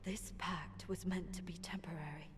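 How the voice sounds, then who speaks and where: An actress playing a solemn mystical guide who tells ancient lore in measured fantasy game narration. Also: female voice, lowish voice, steady tone, slight background noise, lightly acted. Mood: solemn, mournful. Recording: long reverb, game music behind.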